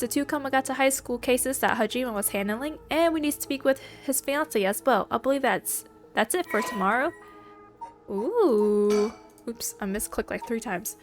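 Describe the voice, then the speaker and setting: A woman playing a game, reading its dialogue aloud and commenting playfully in between, her voice stuffy from allergies. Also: a little high. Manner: reads lines and then comments playfully. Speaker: let's play gamer.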